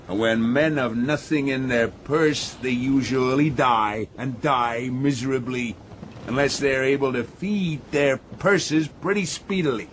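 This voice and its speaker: Guy acting a bossy mocking sea captain, mid pitched, radio drama, bit of ship noise behind. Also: natural voice. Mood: sardonic, grim. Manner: taunting, sardonic. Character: domineering, cynical sea captain, mocking and shrewd.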